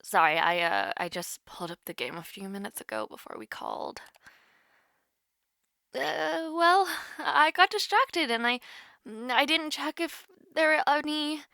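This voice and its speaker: Casting: actress as nervous, playful young woman in intimate roleplay; bright voice, unhurried, halting delivery. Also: high voice, sing-song, creaky, no room sound, studio quality, heavily acted. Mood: sheepish, apologetic. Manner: hesitant, halting, teasing. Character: nervous, playful young woman calling her online crush. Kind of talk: intimate audio roleplay, one-sided call.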